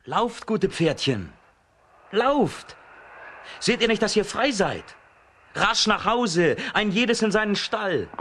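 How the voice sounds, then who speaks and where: A man's voice: high-pitched, sing-song, slow pace, long pauses, a bit bright, a little hiss or hum, exaggerated voice. A man playing a bold, defiant young fairy-tale hero in a children's radio play, speaking with earnest determination. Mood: joyful.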